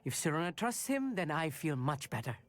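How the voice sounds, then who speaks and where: A man's voice: fairly high pitch, sing-song, fairly quick. A young man playing a bookish teenage schoolboy in a fantasy game, polite, eager and nervously self-correcting. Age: young adult. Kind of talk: video game acting.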